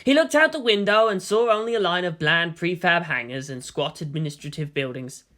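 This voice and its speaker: A narrator reading a story aloud in an expressive, scripted storytelling style. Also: mid-range voice, brisk. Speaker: audiobook-style reader of fan fiction.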